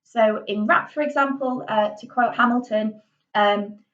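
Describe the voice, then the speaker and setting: A woman's voice: on the high side, steady tone, measured pace, fairly bright tone, distant mic, highs cut off. A woman with a Yorkshire accent giving a casual drama lesson, chatty and full of ums and little restarts. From Yorkshire, England. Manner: chatty, with many ums and self-corrections. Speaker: drama teacher.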